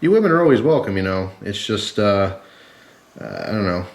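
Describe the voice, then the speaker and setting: A man's voice: on the low side, big pitch swings, moderate pace. Congested man chatting in an echoey room. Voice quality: congested, stuffy-headed. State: sick with a cold and flu. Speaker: host of a gear and knife review channel. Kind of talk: casual rambling vlog chat to camera. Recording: echoey, roomy sound.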